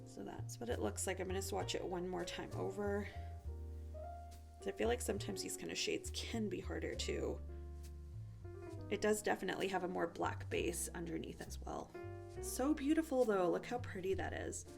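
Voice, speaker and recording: A woman's voice: mid-range voice, moderate pace, vocal fry, over music. A woman chatting casually and informally to viewers as a beauty vlogger reviewing products, sounding a little sick and sniffly.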